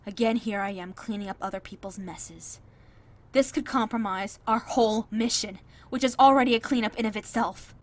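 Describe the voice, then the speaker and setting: A woman's voice: medium pitch, moderate pace, natural voice. A woman playing a cold, bossy conspirator who scolds and commands her underlings in a condescending way. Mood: exasperated, scolding. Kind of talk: dramatic voice acting in audio drama.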